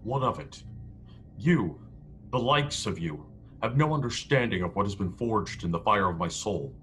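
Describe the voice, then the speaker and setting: A man acts out a proud, stubborn starship crewman in a science-fiction radio play. He declaims defiantly in a fairly low voice. The sound is a video call with faint background noise. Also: expressive, moderate pace, a bit bright. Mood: defiant, bitter. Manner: declamatory, speaks of himself in third person. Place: indoor room at home. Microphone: headset on a video call.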